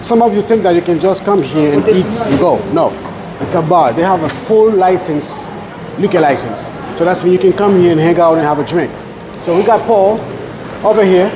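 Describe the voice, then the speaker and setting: A man's voice: high voice, lively intonation, moderate pace, noisy background. A man with a Haitian accent, a native Haitian Creole speaker, hosting a casual on-location interview in an informal, repetitive way. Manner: informal, repeats himself, often says "man". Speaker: host interviewing a chef for an online show.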